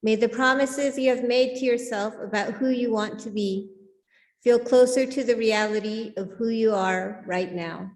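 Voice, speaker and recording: Female service leader, slightly high voice, formal reading, reverberant hall. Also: fairly even intonation, moderate pace, a little mellow. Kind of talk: read benediction and announcements. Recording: echoey hall with long reverb.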